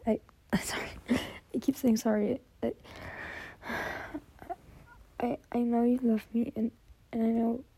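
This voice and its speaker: Young adult woman, high, creaky, whispery voice; tearful, stammering, apologetic intimate delivery to a partner. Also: warm tone. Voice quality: breathy, whispery voice. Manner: halting, apologetic, stammering repetitions. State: anxious, tearful, close to crying. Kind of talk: intimate whispered monologue, audio roleplay.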